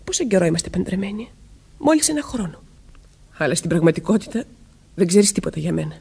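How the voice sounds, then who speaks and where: Troubled young wife in greek radio drama, defiant, kinda husky breathy voice. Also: female voice, fairly low pitch, big pitch swings, mellow, full character voice. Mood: bitter, upset.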